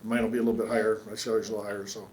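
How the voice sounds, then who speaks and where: A man chairing a county board meeting, speaking in a measured way with many fillers and restarts. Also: lowish voice, flat delivery. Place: council chamber. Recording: echoey meeting room with faint hum.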